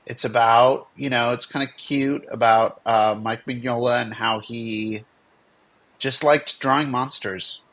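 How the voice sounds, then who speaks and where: A man's voice: medium pitch, steady tone, measured pace, a bit bright. A man co-hosting in a casual, unscripted chat, talking in a rambling, jokey way. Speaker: co-host and game developer.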